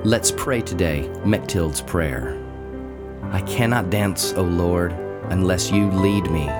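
A man with a low-pitched voice narrates a devotional. He reads slowly from a script, setting each phrase apart with deliberate pauses. The delivery is measured throughout. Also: over loud music. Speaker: reader of a daily devotional.

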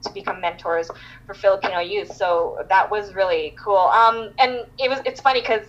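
A woman's voice: medium pitch, normal speed. Chatty woman on dull call audio. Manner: chatty, many fillers and restarts. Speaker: podcast guest, entrepreneur. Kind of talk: casual interview chat.

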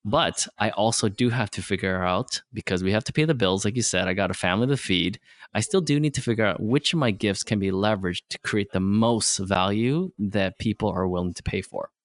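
Middle-aged man, Vietnamese first language, low-pitched and dry-miked, plain-spoken guest who restarts often. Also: brisk, no room sound. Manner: plain simple wording, frequent restarts and "right?" tags. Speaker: podcast guest. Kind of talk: casual conversation.

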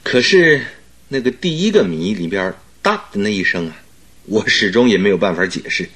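Husky, eager detective's companion. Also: male voice, fairly low pitch, expressive, fairly quick, a bit bright. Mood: puzzled, curious. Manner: inquisitive, chuckling, exclamatory. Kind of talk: Mandarin radio drama acting.

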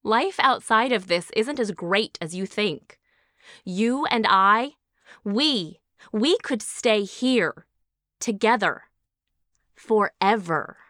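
A woman's voice: medium pitch, expressive, slow pace, sharp tone, no echo, studio quality. A woman playing a wistful, pleading aunt who clings to her past child stardom in an audio drama.

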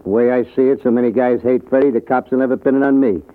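A man's voice: mid-range voice, fairly even intonation, quick pace, close to an everyday voice. An actor playing a bitter, drunken man bent on revenge in an old-time radio drama. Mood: stubborn. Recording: tinny old radio recording.

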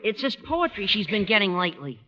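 An actor playing a lovesick, overwrought teenage high school boy with a plaintive delivery in a vintage radio sitcom. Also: very animated, a little fast. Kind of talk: 1940s-50s radio sitcom acting. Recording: muffled, hissy old radio, studio audience.

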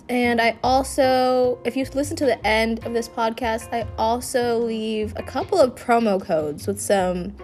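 A young woman hosting a personal podcast, her voice a little raspy from feeling unwell, talking candidly and rambling with restarts. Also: on the high side, a little mellow. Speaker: podcast host. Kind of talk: casual personal podcast monologue.

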